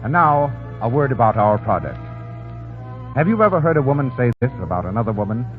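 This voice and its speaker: A man's voice: medium pitch, very animated, fairly quick, faint music behind. An American man working as a 1930s radio announcer, with a formal, theatrical showman's delivery. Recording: tinny, band-limited 1930s radio broadcast.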